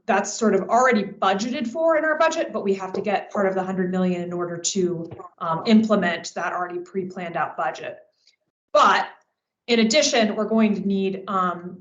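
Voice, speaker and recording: A woman speaking as a government relations manager, giving an explanatory update on a work conference call in informal professional language. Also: medium pitch. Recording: echoey, dull conference call audio.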